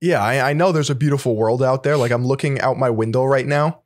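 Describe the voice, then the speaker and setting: A man's voice: mid-range voice, fast, dry recording, studio quality. A man co-hosting a podcast in casual conversation, laid-back, explaining at length and often repeating words. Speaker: podcast co-host.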